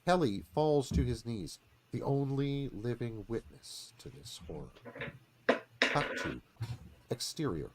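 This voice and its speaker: Animated male screenplay narrator. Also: mid-range voice, a little hiss or hum, rough recording. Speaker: narrator reading stage directions. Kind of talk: dramatic scripted narration.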